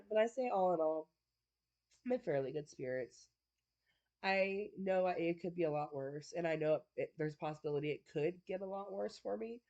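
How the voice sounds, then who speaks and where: A woman's voice: lowish voice, expressive, normal speed, long pauses, dark tone, muffled recording. A woman with a congested, stuffy nose chatting casually to the camera at home, rambling with lots of fillers and frequent little laughs. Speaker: vlogger. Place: at home indoors.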